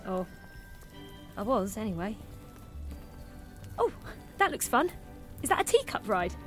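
A woman's voice: mid-range voice, sing-song, a little slow, soft background music. An actress playing a curious, earnest, bookish young British woman in naturalistic audio drama dialogue. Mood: chatty. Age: young adult.

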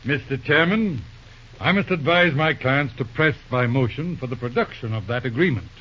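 An actor playing a shrewd, formal judge and lawyer, speaking deliberately and politely but pointedly in an old-time radio drama. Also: male voice, mid-range voice, pushed and bright. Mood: courteous, probing. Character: shrewd, courteous lawyer judge, calmly cornering his opponent. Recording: muffled old radio recording.

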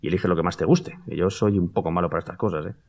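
A man's voice: low voice, fairly even intonation, rapid-fire, a little mellow. An actor playing an eager, scatterbrained, slightly awkward male dinner host in an audio drama, effusive, with a Castilian Spanish accent. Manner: effusive, chuckly, hesitant. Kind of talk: live-recorded Spanish audio fiction.

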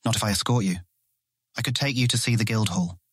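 An actor playing an earnest, awkward and easily charmed guild guard in a fantasy audio drama, eager to please. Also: male voice, lowish voice, fairly quick, fairly bright tone, husky, no room sound. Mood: hesitant, hopeful.

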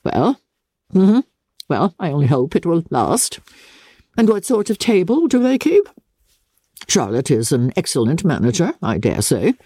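A woman's voice: lowish voice, very animated, measured pace, pauses often, dark tone, slightly rough, no room sound, clean studio sound, heavily acted. A woman voicing a fussy, querulous middle-aged mother who chatters fretfully and self-pityingly in a dramatic novel reading. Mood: snide, prying.

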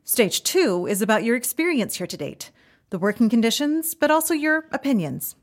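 An actress playing a polished, officious female government minister in a comedic fantasy audio drama, formal, patient and measured. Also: on the high side, big pitch swings.

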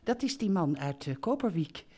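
A woman's voice: lowish voice, sing-song, normal speed, a little mellow. An actress playing a chatty, well-meaning mother at a holiday park reception in a comic radio play. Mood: cheerful, earnest. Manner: breezy, meddling, emphatic. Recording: live theatre stage recording.

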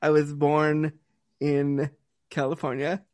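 A man hosting an interview, talking in an animated, chatty way with frequent restarts. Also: on the high side, slow, dry recording. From Canada. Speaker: interview host. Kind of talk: casual conversational interview.

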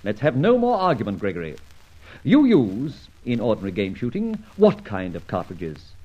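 A suave gentleman adventurer who narrates his own exploits, his voice soaring and dipping dramatically. It has a husky edge and comes through a dull, lo-fi old radio recording. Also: lowish voice, huge pitch swings, moderate pace. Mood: commanding. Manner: confident, mocking, commanding. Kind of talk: 1940s radio acting.

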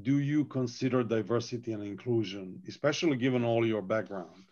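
Inquisitive interviewer from Serbia. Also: male voice, medium pitch, fairly quick, a little mellow, dull audio. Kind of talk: interview conversation.

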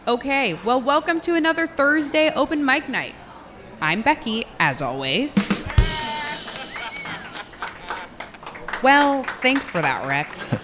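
A woman's voice: high voice, lively intonation, a little slow, over music. A woman playing a brash small-town comedian hosting an open mic night in an audio drama, teasing the room with rhetorical questions. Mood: teasing, upbeat.

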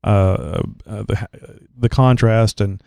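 A man hosting a casual online show talks in a loose, rambling way, stopping often on filler words. His voice is mellow and smooth, though a little crackly as he gets over a cold. The sound is dry and close. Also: fairly low pitch, takes it slowly, no room sound. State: recovering from a cold, slight crackle. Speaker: podcast host. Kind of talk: casual chat.

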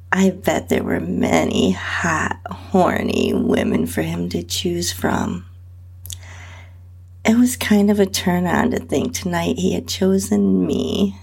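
Female narrator for erotic audio fiction: lower register, husky, slow delivery. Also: a little mellow. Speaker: podcast host and narrator. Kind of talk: first-person erotic audio story narration.

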